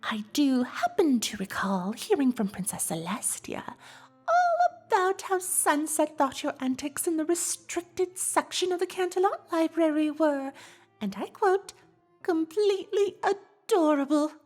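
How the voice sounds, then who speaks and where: A woman's voice: high-pitched, very animated, a little mellow, soft background music. A woman voicing an elegant, teasing cartoon unicorn socialite in a fan fiction audio drama, with an affected, genteel, gossipy delivery. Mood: conspiratorial teasing. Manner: affected, genteel, theatrical delivery with drawn-out words.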